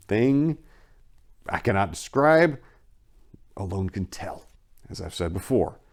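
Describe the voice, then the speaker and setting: A man playing a haunted, shaken witness giving formal, theatrical, emphatic testimony in a dramatic horror reading. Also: deep voice.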